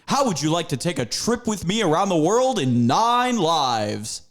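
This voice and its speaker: A man's voice: on the high side, very animated, a little slow. A man voicing a theatrical, upbeat panther mascot who leads students through time. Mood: grand.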